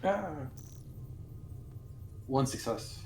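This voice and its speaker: A man's voice: medium pitch, animated, extremely slow, creaky. Roomy-sounding man playing a tabletop game. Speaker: player. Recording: roomy echo on voice. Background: music.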